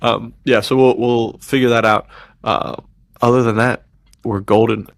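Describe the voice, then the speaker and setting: A man's voice: medium pitch, fairly even intonation, a little slow, creaky, no echo, studio quality. A man co-hosting a podcast in casual chat, with a slangy, bro-ish way of talking. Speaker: podcast co-host.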